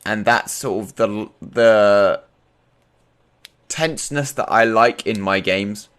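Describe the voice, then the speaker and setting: A man's voice: fairly low pitch, slow, a bit bright, smooth. A man from Europe hosting a casual, informal chat about tabletop games, often repeating his phrases. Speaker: talk show host and game master.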